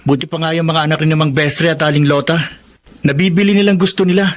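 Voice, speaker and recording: An actor playing a glum, hard-up student and older brother in a Filipino radio drama. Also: male voice, on the high side, steady tone, quick pace, lightly acted.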